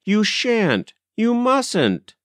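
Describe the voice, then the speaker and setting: A man's voice: a little high, very animated, slow, fairly bright tone, clear, resonant tone, no echo, studio quality, voice pushed up, exaggerated voice. A man voicing an agitated, indignant nursery governess in a storybook, with a frantic, emphatic manner. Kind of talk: storybook character voice.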